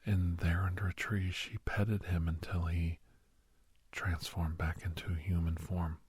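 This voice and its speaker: A man playing a grave doctor in an ASMR roleplay, telling a haunting memory in a hushed, halting, breathy voice that often drops to a whisper. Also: low-pitched, little pitch movement, dry recording, studio quality, full character voice. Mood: grave, intent. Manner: halting, hushed, dramatic delivery. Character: grave male doctor recounting a frightening memory to youngster.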